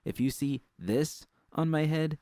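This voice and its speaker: A man's voice: fairly high pitch, measured pace, dark tone, dry recording, clean studio sound, voice pushed up, lightly acted. A man voicing an irritable, stubborn young woman who works as a technician, exasperated and defensive, in a cyberpunk story reading. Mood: irritated. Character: irritable, stubborn young female braindance technician, sharp-tongued.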